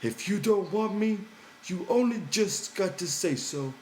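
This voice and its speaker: A man's voice: sits high, lively intonation, measured pace, darkish tone, echoey room, slight background noise, pitched above the performer's own voice. A man voicing a big, childlike, simple-minded ranch hand who pleads and whines in an audiobook. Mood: sulky, crafty. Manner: whiny, pleading, childlike, ungrammatical.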